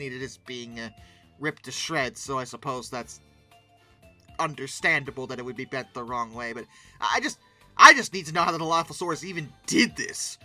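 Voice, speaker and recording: An expressive, emphatic host giving casual reaction commentary, often breaking into exclamations. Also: mid-range voice. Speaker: host of a paleoart commentary channel.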